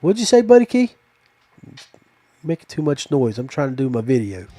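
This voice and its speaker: A man's voice: medium pitch, very animated, normal speed, music in the background. A middle-aged or older man hosting a podcast interview, chatting in a casual, folksy, affectionate and rambling way. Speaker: podcast host. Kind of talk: casual chat closing a fishing podcast interview.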